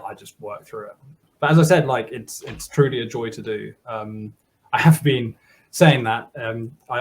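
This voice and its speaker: A German man in his thirties, a software developer chatting as a guest on a video call, laughing often and restarting his sentences. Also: mid-range voice. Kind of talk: casual interview talk.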